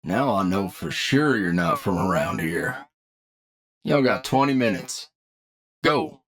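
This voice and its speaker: A man's voice: mid-range voice, animated, slow, a crowd in the background. A man playing a cocky, seasoned demonic hunter who teaches an apprentice in a folksy drawl, in an audio roleplay.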